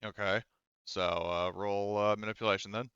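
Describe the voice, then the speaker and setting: A man's voice: lowish voice, fairly even intonation, normal speed, fairly bright tone, clean tone, no room sound, muffled recording. A man improvising casual narration as a tabletop game master, explaining things in a hesitant way.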